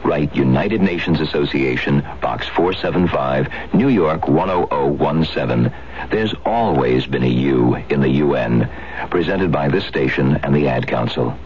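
Dull, faintly noisy broadcast, very deep bass male announcer, scripted public service spot. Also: animated, a little slow. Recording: muffled, noisy 1970s radio broadcast.

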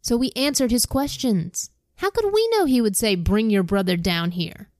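A woman's voice: normal speed, no room sound, studio quality. A woman voicing a group of frightened grown brothers, speaking in a raised, anxious way. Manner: raised, anxious, excited pitch. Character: frightened adult male brothers pleading together.

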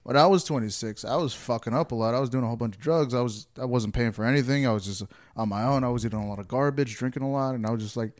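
A man in his late twenties with a mid-range voice tells stories from his past in a calm, reflective way. He speaks in a loose, conversational manner, with no performance to it. It sounds like an unhurried chat with a friend. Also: mid-range voice. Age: 20s. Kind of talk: casual chat.

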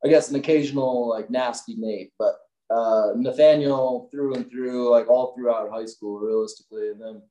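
A man's voice: mid-range voice, fairly even intonation, normal speed, dark tone. A man in his thirties from Wisconsin, a company owner chatting casually as an interview guest, rambling with many fillers. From Wisconsin, US Midwest. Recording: echoey room.

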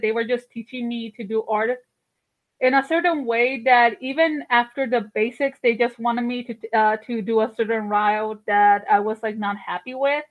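A chatty woman in her thirties, her voice pitched a little high and squeezed through a thin phone-like line. She rambles easily, with many fillers and restarts. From Colombia. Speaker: guest artist. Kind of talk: casual interview chat.

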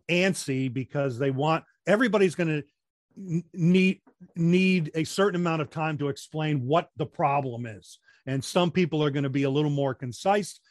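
A man with a voice that projects well, explaining training methods in a measured way and often restarting his sentences in a relaxed interview. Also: on the high side, dry recording, studio quality. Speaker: guest, corporate trainer. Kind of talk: conversational interview.

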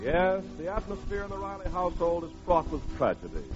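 A man's voice: high-pitched, big pitch swings, a little fast, loud music behind the voice. A man announcing and narrating a 1940s radio show in an emphatic, mock-solemn, theatrical way. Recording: muffled old radio broadcast recording.

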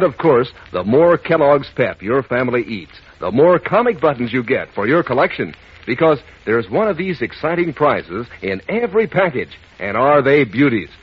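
An American man delivering a folksy, enthusiastic 1940s radio advertising pitch. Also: slightly rough. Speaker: commercial announcer. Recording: muffled old radio broadcast.

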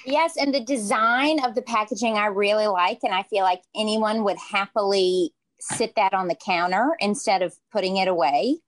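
A woman's voice: mid-range voice, moderate pace, few pauses. A young adult woman chatting casually as a podcast co-host. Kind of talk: casual interview chat.

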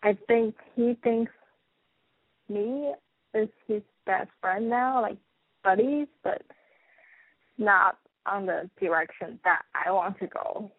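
A woman in her twenties, calling in to a radio show, talking in a shy, hesitant, halting way. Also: mid-range voice, slightly rough, no echo. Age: 20s. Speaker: caller. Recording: tinny phone line.

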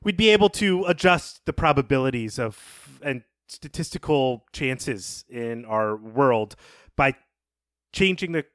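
Mid-pitched man discussing books, dry recording. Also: lively intonation, measured pace, a bit bright, no room sound. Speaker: podcast co-host. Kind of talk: casual literary discussion.

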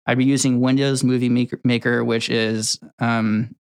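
A young man from Connecticut, a video editor chatting casually as the guest of a workplace interview, relaxed and rambling with many ums and restarts. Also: mid-range voice, normal speed, clear, resonant tone. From Connecticut, USA.

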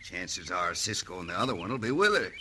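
An actor playing a cocky, murderous outlaw gang boss with a Western drawl and taunting, folksy menace, in an old-time radio western. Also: male voice, deep voice, big pitch swings, moderate pace, bright, forceful tone, exaggerated voice. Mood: sly scheming. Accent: American Western drawl. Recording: faint noise, old radio recording.

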